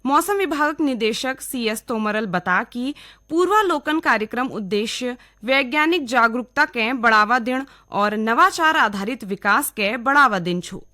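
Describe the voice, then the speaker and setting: Woman newsreader, Kumaoni accent, bright crisp-edged tone, raised pitch, scripted radio bulletin. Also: expressive, measured pace. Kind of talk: scripted regional radio news reading.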